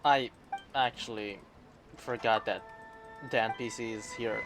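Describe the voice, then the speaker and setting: Slow-talking man doing game commentary. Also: fairly low pitch, fairly even intonation, over music. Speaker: game streamer. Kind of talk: casual, off-the-cuff gameplay commentary.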